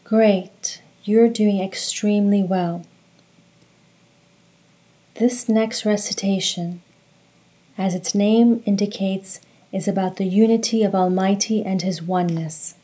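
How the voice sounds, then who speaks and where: A woman guides a meditation in a soft-edged, mellow tone. She speaks very slowly and calmly, delivering each instruction in a measured way at a medium pitch. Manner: slow, calm, measured instructions. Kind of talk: guided meditation narration with recitation.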